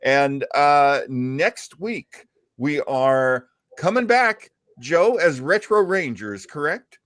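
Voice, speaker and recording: A man's voice: on the high side, expressive, slow pace, a bit bright. A man hosting a live web show in a casual, chatty style, effusive with his thanks and praise. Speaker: host of a live web show about old-time radio and film.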